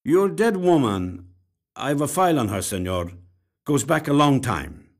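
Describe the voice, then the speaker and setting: Man playing a grave detective, raised pitch, slow. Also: very animated. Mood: grave, deliberate. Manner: deliberate, with heavy pauses. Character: weary, grave Spanish-speaking male police detective of cold cases.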